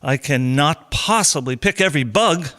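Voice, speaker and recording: A man's voice: fairly high pitch, animated, normal speed, sharp tone. A man playing a practical, sceptical farmer who challenges others in an amateur historical drama. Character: sceptical male farmer with eight acres planted.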